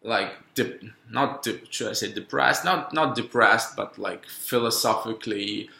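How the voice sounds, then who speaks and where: A man in his thirties thinks aloud in a loose, halting monologue, circling back and restarting his sentences. His voice is low and gravelly with a bright, pressed edge. The room around him rings with echo. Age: 30s. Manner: halting, musing, many restarts and fillers. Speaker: podcaster. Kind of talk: rambling solo monologue. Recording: echoey room.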